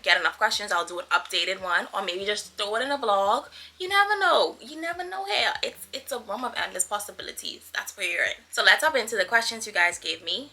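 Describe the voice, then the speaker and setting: A woman's voice: medium pitch, pushed and bright. A woman of twenty-seven from the Bahamas chatting casually to her viewers as a YouTuber, playful, effusive and slangy. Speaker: YouTuber, vlogger.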